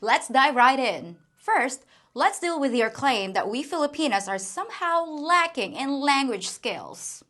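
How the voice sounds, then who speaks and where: A woman's voice: high-pitched, sing-song, measured pace, few pauses, bright, forceful tone. A woman from the Philippines hosting a scripted commentary video, speaking with wry, playful sarcasm. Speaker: commentary channel host.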